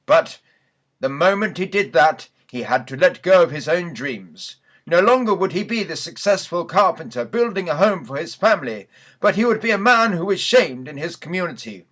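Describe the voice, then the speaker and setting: A man's voice: a little high, expressive, moderate pace, a bit bright. A man reading a scripted mock news bulletin as the newsreader in a church sketch.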